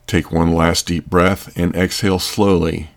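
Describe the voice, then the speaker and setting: A man narrating a scripted sleep meditation in a calm, soothing, gentle manner. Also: deep voice, measured pace.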